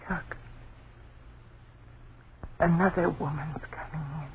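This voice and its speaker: An actress playing a horrified, anxious wife and mother in an old radio horror drama, with a breathless, fearful, halting delivery. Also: deep voice, fairly even intonation. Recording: tinny, very noisy old radio recording.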